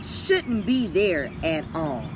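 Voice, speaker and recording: A woman in her thirties reading a children's picture book aloud like a teacher, deliberate and dramatic, recorded outdoors. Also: lowish voice, sing-song. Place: outdoors by a brick house. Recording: outdoor ambient noise, birds, vehicles.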